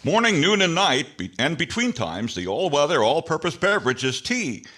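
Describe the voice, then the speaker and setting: A man's voice: a little high, very animated, moderate pace, heavy background noise. An older man playing an earnest 1940s radio announcer reading a scripted advertisement. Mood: upbeat selling.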